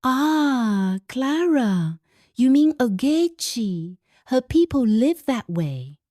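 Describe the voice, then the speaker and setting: A woman's voice: a little high, sing-song, very slow, warm tone, no echo, studio quality, voice pushed up, heavily acted. A Nigerian-accented woman voicing a fearful old village woman in a folktale, wary and hesitant.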